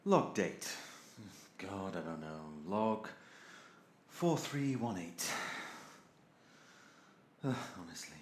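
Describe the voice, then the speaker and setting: A man's voice: low-pitched, very animated, slow, pauses often, echoey room, slight background noise, full character voice. A man playing an irritable, sardonic robot crewman in an audio drama, theatrically exasperated and sarcastic. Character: irritable sardonic male robot crewman keeping a ship's log.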